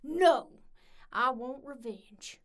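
A woman's voice: sits high, extremely slow, heavily acted. A woman playing a hot-headed, vengeful young woman in an audio drama. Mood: furious.

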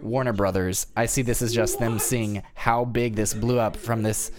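A man talking as a panelist in a casual group discussion, somewhat halting and hesitant in delivery. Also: fairly low pitch, fairly even intonation, a crowd in the background. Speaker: podcast panelist.

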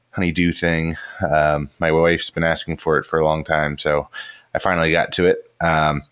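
A man's voice: low-pitched, a bit bright, dry recording, clean studio sound. A man chatting on a podcast, eager and full of "um" fillers. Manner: enthusiastic, frequent "um". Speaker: podcast co-host, woodworker. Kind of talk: casual chat.

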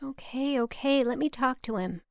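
A woman's voice: high-pitched, moderate pace, vocal fry, darkish tone, dry recording, clean studio sound, voice pushed up. A woman voicing an anxious, dutiful adult daughter who pleads and placates, in an audiobook story.

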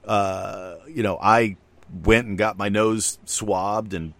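Deep-voiced man chatting loosely. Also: fairly even intonation, slow, vocal fry, a bit bright, no room sound. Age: middle-aged. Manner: rambling, loose, many fillers and asides. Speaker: podcast co-host. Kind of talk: casual conversation.

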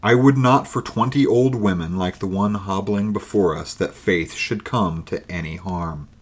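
A man playing the devil, smooth and mocking. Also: low voice. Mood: sly. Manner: measured, archaic, smoothly persuasive. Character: the devil as a calm, mocking elder traveler.

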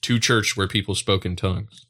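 A male podcast co-host from the United States with a bright, punchy voice. He sits on the low side in pitch and talks casually, joking irreverently as he goes. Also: steady tone, moderate pace. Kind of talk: casual comedic podcast chat.

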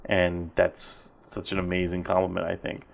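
A man's voice: low voice, completely flat delivery, normal speed, vocal fry. A man hosting a podcast in casual conversation, enthusiastic and full of praise, laughing often. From Spanish heritage. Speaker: podcast host. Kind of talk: casual interview chat.

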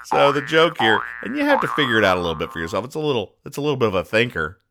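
A man hosting a podcast, explaining jokes in a mock-serious, deadpan and over-explaining way. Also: mid-range voice, rapid-fire, gravelly, background noise. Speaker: podcast host.

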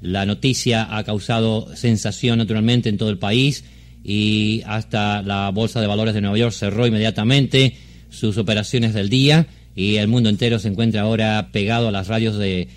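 A man with a lowish voice delivers a live news report. He is formal, with an occasional stumble over a word. It sounds like a 1960s shortwave radio broadcast. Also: steady tone, brisk. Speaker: Spanish-language news reporter.

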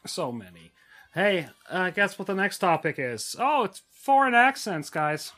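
A man's voice: sits high, very animated, unhurried, bright, forceful tone. A man hosting a show in a loose, casual chat, introducing clips as he goes. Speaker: show host.

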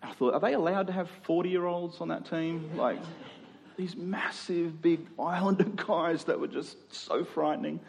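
A man's voice: fairly high pitch, moderate pace, gravelly. Australian man preaching in an echoey hall. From Queensland, Australia. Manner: conversational and earnest, repeats phrases for emphasis. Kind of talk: sermon. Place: large room, preaching to a congregation. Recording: echoey hall with long reverb.

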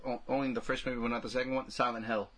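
A man's voice: mid-range voice, flat delivery, racing, fairly bright tone. A man co-hosting a podcast in casual, slangy chat, often repeating himself as he goes. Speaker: podcast co-host.

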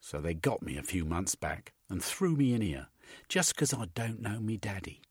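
A man voicing a blunt, rough-spoken young woman in an audiobook, with a husky, raised voice. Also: fairly low pitch, big pitch swings, normal speed, dry recording, clean studio sound.

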